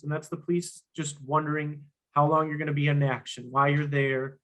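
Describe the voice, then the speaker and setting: A young man giving an instructional workshop presentation, earnest and emphatic, with frequent ums and restarts, his voice slightly hoarse. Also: on the high side, a bit bright, rough recording.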